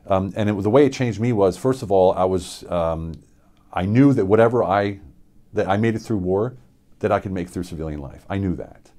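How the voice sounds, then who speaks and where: A middle-aged man from Pennsylvania, a military veteran, gives an unscripted personal testimony in a studio, earnest and reflective, with many halting fillers and restarts. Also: low voice, dark tone. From central Pennsylvania, USA. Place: indoor studio with dark backdrop.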